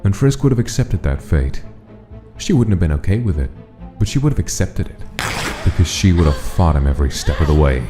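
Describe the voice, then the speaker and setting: A man's voice: sits low, very animated, fairly quick. A man narrating a dramatic story from a script, as the narrator of a comic dub. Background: music.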